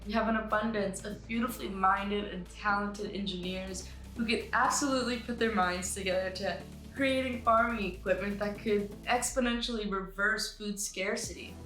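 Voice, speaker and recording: A woman giving an articulate, earnest scripted pitch as a filmmaker presenting her project, with some light asides. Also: a bit bright. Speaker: animator and writer pitching a short film. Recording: roomy echo with music behind. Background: music.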